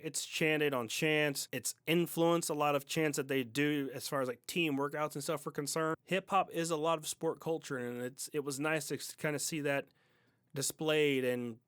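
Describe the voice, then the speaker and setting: A man's voice: on the high side, steady tone, normal speed, a bit bright, clear, resonant tone, dry recording, studio quality. A man hosting a music podcast, giving a casual, enthusiastic review and repeating words for emphasis.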